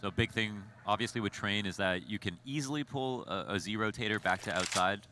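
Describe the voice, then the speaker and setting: Fast, bright low-voiced match commentator. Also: male voice, slight background noise. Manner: fast, analytical play-by-play. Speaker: esports commentator.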